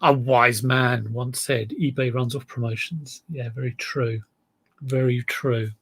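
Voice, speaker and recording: A man's voice: mid-range voice, a little slow, breathy edge. A man with a South East London drawl, chatting casually on a live stream, rambling about his sales and reading out viewer comments. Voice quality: relaxed drawl. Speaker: eBay reseller livestream host.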